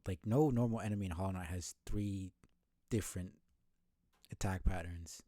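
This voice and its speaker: A man's voice: sits low, moderate pace, warm tone, no echo, clean studio sound. A male streamer giving casual live commentary while playing a game, muttering and swearing as he goes.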